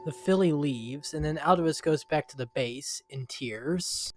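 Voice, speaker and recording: A man's voice: on the high side, measured pace, faint music behind. A man narrating a scripted dramatic story, now and then stumbling over the words.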